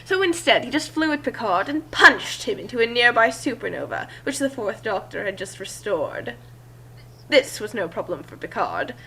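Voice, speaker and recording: A woman's voice: on the high side, sing-song, normal speed, a bit bright, breathy edge, low-quality recording. A woman reading a fan fiction story aloud as narrator, in an amateur group session with joking asides.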